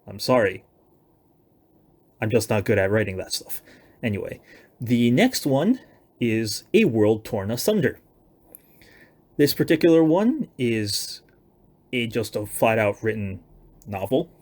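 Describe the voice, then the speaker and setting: A man's voice: mid-range voice, animated, unhurried, long pauses, a little mellow. A young man giving a casual, loosely planned announcement of his own writing projects, with self-deprecating, hedging asides. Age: young adult.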